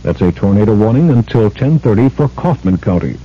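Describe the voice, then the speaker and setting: A man's voice: on the low side, lively intonation, a little fast, a little hiss or hum. A man reading a weather warning bulletin on radio in a formal, factual way. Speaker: radio news announcer. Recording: dull, muffled old radio broadcast.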